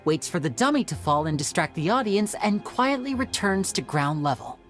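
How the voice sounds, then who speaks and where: An actor voicing a young male stage magician in a video game, smooth, theatrical and explanatory. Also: sits high, big pitch swings, moderate pace. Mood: explaining. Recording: game audio with soft music.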